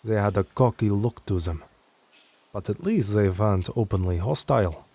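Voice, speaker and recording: A man's voice: fairly low pitch, expressive, normal speed, darkish tone. A man reading a scripted first-person horror story as narrator.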